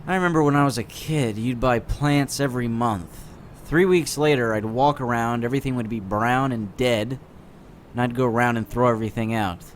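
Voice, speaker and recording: A man's voice: medium pitch, moderate pace, slight background noise, natural voice. A man playing a gloomy, sardonic teenage boy in a comic dub, with a dry, jaded, matter-of-fact delivery. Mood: exasperated. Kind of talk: scripted comic-dub acting, first-person narration and dialogue.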